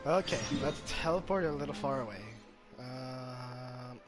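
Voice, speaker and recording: A man's voice: medium pitch, measured pace, loud music behind the voice. A man giving casual live gameplay commentary, hesitantly thinking aloud as he plays. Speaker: game streamer.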